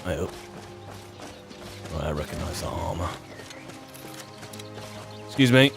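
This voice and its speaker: Low-voiced man musing through a roleplay game. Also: animated, takes it slowly, vocal fry, loud music behind the voice. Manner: musing, wry, rambling. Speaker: gaming video host. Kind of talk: improvised roleplay game commentary.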